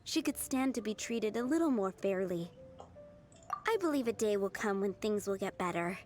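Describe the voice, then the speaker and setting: An actress voicing a kind young female cook in a video game, speaking in a gentle, earnest way. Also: medium pitch, animated, normal speed, faint music behind, lightly acted.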